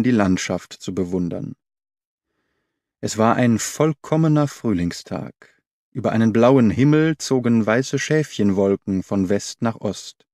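Measured male audiobook narrator, dry sound. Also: fairly low pitch, a little mellow, no echo. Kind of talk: scripted literary narration.

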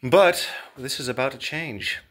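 A man's voice: mid-range voice, a little slow, fairly bright tone. Rambling man vlogging on a handheld camera. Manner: rambling, self-deprecating, filler-heavy. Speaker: channel host. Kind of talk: casual vlog walk-and-talk. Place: abandoned building halls and outdoor grounds. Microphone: handheld camera held close, low angle.

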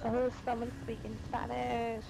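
A woman from Mexico chatting in game voice chat, halting and mixing Spanish and English. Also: on the high side, monotone, gravelly, a loud crowd. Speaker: gamer in voice chat. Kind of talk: casual voice-chat conversation.

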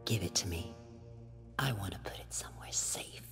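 A woman playing a sultry, sadistic policewoman villain with a breathy, mocking voice in a video game cutscene. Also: very deep voice, expressive, moderate pace, whispered, over music. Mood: sultry mockery.

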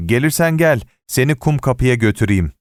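A man's voice: medium pitch, lively intonation, normal speed, no room sound, clean studio sound, lightly acted. A man voicing a casual, nosy neighbourhood man in an audiobook story, with a familiar, prodding manner. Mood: breezy. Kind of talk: audiobook character dialogue.

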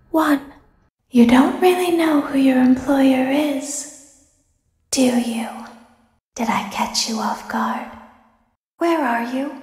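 Game villain: sinister animatronic clown girl, high, very slow, taunting, in long reverb. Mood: playful menace. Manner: taunting, theatrical, deliberate. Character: sinister female animatronic clown girl, vengeful, devoted daughter. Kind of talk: video game villain monologue.

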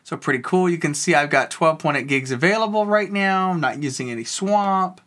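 A tech video host giving a casual, rambling walkthrough of a software tool, thinking aloud while clicking. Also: medium pitch, a little fast.

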